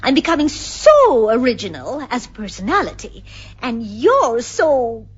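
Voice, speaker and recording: An actress playing a haughty, self-absorbed middle-aged wife in a radio drama, condescending and theatrical. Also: female voice, fairly high pitch, huge pitch swings, unhurried, breathy edge, full character voice. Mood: haughty, resolved. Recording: dull lo-fi radio recording.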